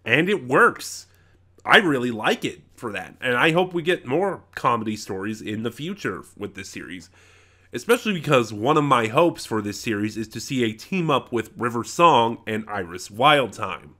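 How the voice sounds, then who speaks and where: A man's voice: medium pitch, expressive, normal speed, sharp tone. Casual American man giving a rambling review. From United States. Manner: conversational, rambling with self-corrections. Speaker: audio drama reviewer. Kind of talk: casual unscripted review commentary.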